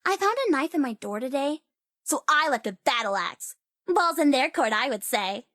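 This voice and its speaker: High-pitched, cocky sorceress. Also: female voice, animated, normal speed, a bit bright, no room sound, studio quality. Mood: cocky, wry. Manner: theatrical, teasing, swinging into wry asides. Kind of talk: video game character voice lines.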